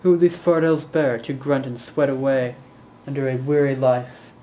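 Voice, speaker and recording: Young guy doing an anguished shakespeare prince, slow dramatic pauses, mellow, really echoey room. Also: medium pitch, fairly even intonation, close to an everyday voice. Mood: weary brooding. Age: young adult. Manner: slow, deliberate, dramatic delivery with heavy pauses. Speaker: student actor performing monologues. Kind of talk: theatrical Shakespearean monologue. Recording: roomy echo, distant mic, faint noise.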